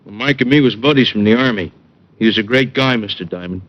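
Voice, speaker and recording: An American man playing a big, humble, loyal wrestler in a vintage radio drama, speaking plainly and haltingly. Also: lowish voice, natural voice. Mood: earnest, mournful. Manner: plain-spoken, halting, earnest. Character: huge, simple, earnest male wrestler, humble and loyal. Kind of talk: 1940s-50s radio drama acting. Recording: dull old radio recording.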